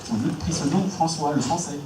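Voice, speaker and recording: A priest giving a lively conference talk on faith and ecology, acting out inner voices, with frequent restarts. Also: male voice, a little high, brisk, background noise. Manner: animated, acts out inner voices, many restarts. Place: hall. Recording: echoey hall, distant mic, faint background.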